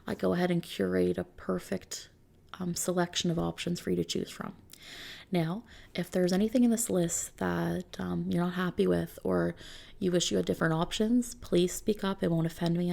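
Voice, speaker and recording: A woman speaking softly and often near a whisper in an ASMR roleplay, gently reassuring like an attentive consultant. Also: lowish voice, gravelly. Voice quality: soft, hushed, often slipping into whispers. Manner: gentle, reassuring, attentive client-service talk. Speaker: ASMR roleplay performer playing a customization consultant. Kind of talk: soft-spoken ASMR roleplay.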